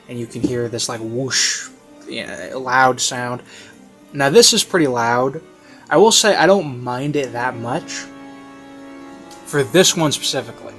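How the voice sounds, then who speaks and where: A man giving a casual, rambling review, hesitant and conversational, often rephrasing himself mid-thought. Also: mid-range voice. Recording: classical music playing behind. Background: baroque classical music.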